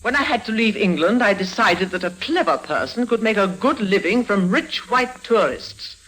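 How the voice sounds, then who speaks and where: An actress playing a ruthless older woman con artist in an old radio drama, menacing and deliberate in manner. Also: medium pitch, expressive, fairly bright tone, low-quality recording. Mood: smug, gloating. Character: cunning, ruthless old female con artist and crime boss. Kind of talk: 1950s radio drama acting.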